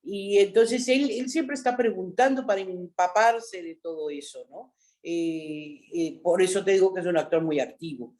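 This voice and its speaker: Mexican woman telling lively stories. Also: on the low side, moderate pace. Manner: animated storyteller, frequent "¿no?" tags. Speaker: actress, interview guest. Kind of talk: casual interview chat.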